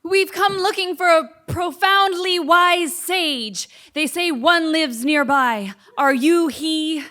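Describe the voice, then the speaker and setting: A woman's voice: very high-pitched, slow, sharp tone, heavily acted. A woman playing an earnest, anxious rebel seeking guidance, speaking with dramatic formality in a comic stage play. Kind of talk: comic stage acting before a live audience. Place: theatre stage, live performance.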